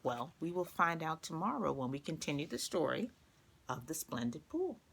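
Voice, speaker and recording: A woman reading a children's storybook aloud, expressive and carefully paced for young listeners. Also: sits low, normal speed, gravelly, slightly rough.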